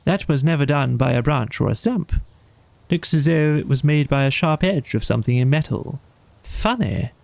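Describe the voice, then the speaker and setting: A kindly, practical water rat from a storybook as a man acts him out. He talks briskly and decisively, with an excitable streak and chatty wording, in a mellow voice with some creak. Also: medium pitch, no echo. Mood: puzzled. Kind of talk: storybook character voice.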